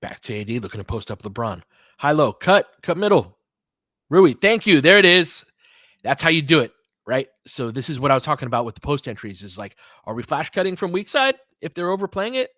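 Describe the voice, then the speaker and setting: A man's voice: fairly high pitch, very animated, a little fast, fairly bright tone, no echo, studio quality. A man doing informal live basketball play-by-play, mixing short clipped calls with conversational coaching analysis and fan-like asides.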